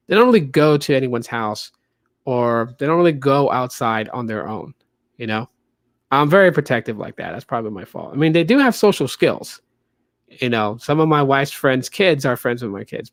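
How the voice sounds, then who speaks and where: A man in his late thirties with a light voice of middling pitch hosts a casual podcast stream. He rambles loosely, full of ums and restarts. The recording is close and dry, with no room sound. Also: dry recording. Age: 30s. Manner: loose, rambling, frequent fillers. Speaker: podcast host. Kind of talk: casual livestream podcast chat.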